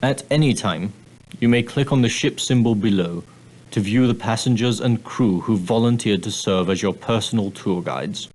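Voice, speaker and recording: A prim and proper male steward of an ocean liner, acted by a man, speaks in a fairly low voice. He is formal and clipped, with a dry, condescending edge beneath the courtesy. It is scripted character dialogue for an adventure game. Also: moderate pace, darkish tone, close to an everyday voice, low-quality recording. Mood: formal, courteous. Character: prim, straight-laced male ship's steward, dryly sardonic.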